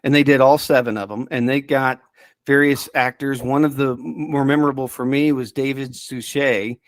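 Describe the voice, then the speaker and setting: A man's voice: mid-range voice, steady tone, normal speed, dry recording. Rambling man chatting in a dry room. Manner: hesitant, rambling, self-interrupting. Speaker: podcast subject-matter expert. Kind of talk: casual chat.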